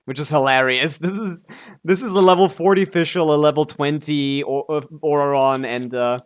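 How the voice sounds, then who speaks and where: A man's voice: fairly high pitch, steady tone, normal speed, smooth. A man giving casual live game stream commentary, thinking aloud as he talks through his options.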